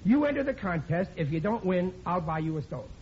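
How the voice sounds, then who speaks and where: A bumbling working-class dad in an old radio comedy. His voice sits fairly high as he stammers, blusters and wheedles. The recording is muffled and plainly noisy. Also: sing-song, brisk, darkish tone. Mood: wheedling. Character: bumbling, wheedling working-class husband and father, middle-aged. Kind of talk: old-time radio situation comedy acting.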